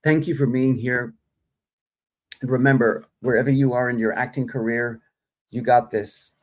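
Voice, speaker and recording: A man's voice: medium pitch, fairly even intonation, normal speed. A middle-aged man from Australia hosting a live acting stream, chatting casually and reading out viewer comments with many ums and uhs. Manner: chatty, reads viewer comments, frequent fillers. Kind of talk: casual livestream chat. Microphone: phone or desk mic, livestream.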